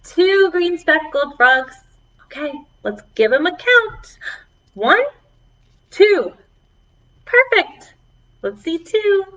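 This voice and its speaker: Female children's librarian for preschool storytime: lively, swooping delivery, slow and encouraging, muffled lo-fi online video sound. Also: very high voice, distant mic. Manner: encouraging, animated, speaking to young children. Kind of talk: preschool singalong and chat to children. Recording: dull, lo-fi online video audio.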